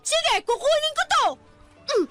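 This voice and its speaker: A woman's voice: extremely high pitch, unhurried, over music. A woman voicing a grown man with a childlike mind, stubborn and whiny, in a radio drama. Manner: whiny, petulant shouting. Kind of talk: Tagalog radio drama acting.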